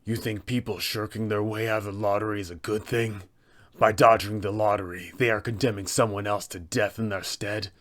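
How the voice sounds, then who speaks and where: A man voicing a cold, calm, menacing undead killer who poses as a trader, in a low, breathy voice with measured delivery, for a tabletop roleplaying villain. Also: close to an everyday voice. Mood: evasive, calm. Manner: slow, hushed, measured threats.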